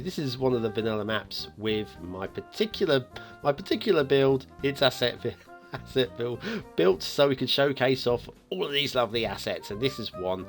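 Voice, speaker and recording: A man hosting a game map review in a chatty, playfully teasing way, commenting casually and off the cuff. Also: on the low side.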